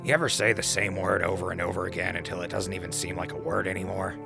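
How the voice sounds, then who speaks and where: A man's voice: low voice, steady tone, fast talker, creaky, over music. A man playing a wry wasteland radio host and wanderer in an audio drama, talking conversationally. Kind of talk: audio drama log and mock sponsor reads.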